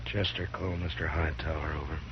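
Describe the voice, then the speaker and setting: An actor playing a calm, firm frontier marshal in a 1950s radio drama. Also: male voice, extremely low pitch, fairly quick, heavy background noise. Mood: steady. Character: calm, steady frontier marshal, middle-aged man. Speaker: lawman. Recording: dull lo-fi 1950s radio broadcast.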